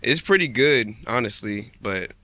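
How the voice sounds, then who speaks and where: Young man, bright tone, relaxed slangy podcast guest who laughs easily. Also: medium pitch, unhurried, no room sound. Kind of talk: casual chat.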